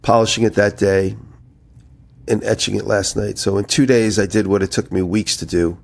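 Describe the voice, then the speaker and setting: A man with a low, creaky voice co-hosts a casual podcast. He sounds a little nasal from a head cold. His talk is conversational and wanders through anecdotes with a gravelly edge. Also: fairly even intonation, moderate pace. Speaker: podcast co-host. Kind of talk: casual chat, rambling anecdotes.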